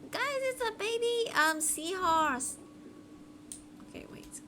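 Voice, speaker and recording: A woman livestreamer reacting to a game and chatting casually to viewers in an excitable, gushing manner. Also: extremely high pitch, slow, slight background noise.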